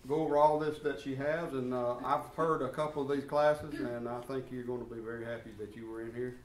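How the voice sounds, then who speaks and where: A man introducing a guest teacher and praying, halting with fillers. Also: mid-range voice, steady tone, distant mic. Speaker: church class leader. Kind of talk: introduction and prayer. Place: church classroom. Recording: roomy echo, from off mic, faint room noise.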